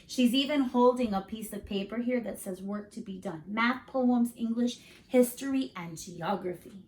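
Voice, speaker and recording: A woman reading a storybook aloud to children like a teacher, expressive and emphatic, pausing to put questions to listeners. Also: fairly high pitch, rough recording.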